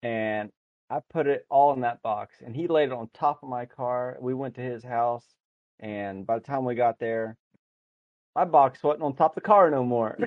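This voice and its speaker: Male podcast guest, soft-spoken and hesitant, laughs often, heard over a dry, dull, lo-fi call line. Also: medium pitch, normal speed, no echo. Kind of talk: casual chat. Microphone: headset over internet call.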